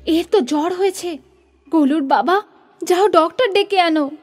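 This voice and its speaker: A woman playing a caring, anxious young mother in animated horror story dialogue. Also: very high-pitched, moderate pace.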